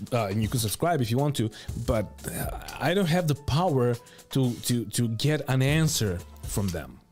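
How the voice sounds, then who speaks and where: A man's voice: mid-range voice, a little mellow. A man in his thirties giving opinionated casual commentary in a blunt, emphatic way, close to the microphone. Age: 30s. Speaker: video commentator. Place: home studio room. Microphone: close boom microphone with headphones.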